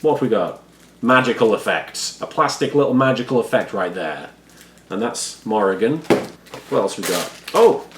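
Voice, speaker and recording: A man with a froggy, hoarse voice, chatting casually and self-deprecatingly as a YouTuber unboxing a subscription box. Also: on the low side, lively intonation, moderate pace, noisy background. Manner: self-deprecating, rambling, quiet-natured. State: hoarse, froggy voice after studio work. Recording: echoey room with faint background ambience.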